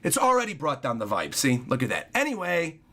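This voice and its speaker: A man hosting a casual livestream, reading viewer donations aloud and chatting in a hoarse, scratchy voice. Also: mid-range voice, wildly swooping pitch, brisk, a bit bright. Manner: chatty, apologetic, thanks viewers often.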